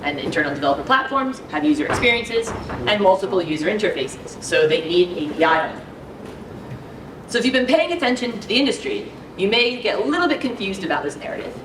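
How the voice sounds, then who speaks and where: A woman in her thirties giving an energetic, conversational technical talk to a small audience in an indoor conference room, speaking into a handheld mic. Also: medium pitch, fast, background noise. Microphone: handheld mic, far camera.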